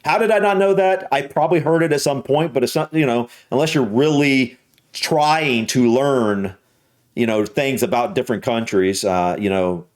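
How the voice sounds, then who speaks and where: A man with a Southern US accent talks through a reaction video in a loose, halting way, circling back over his own words. His voice sits at an ordinary, middle pitch. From United States. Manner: hesitant, restarts and repeats words often. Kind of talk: casual reaction commentary.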